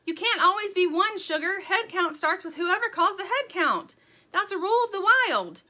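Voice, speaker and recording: A woman voicing a bossy young female chicken from a storybook, yelling urgent commands. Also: very high voice, animated, moderate pace, pitched above the performer's own voice, exaggerated voice. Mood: bossy, loud.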